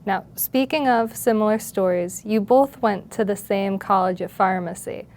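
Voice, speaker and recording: A woman's voice: mid-range voice, normal speed, a little mellow. A woman hosting an interview, speaking politely in prepared introductions and questions.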